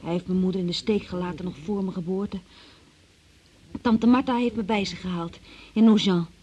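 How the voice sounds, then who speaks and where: A woman's voice: mid-range voice, steady tone, moderate pace, dark tone, breathy edge, close to an everyday voice. An actress playing a naive, anxious young working-class woman accused of theft, plaintive and artless, in a Dutch radio drama. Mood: earnest.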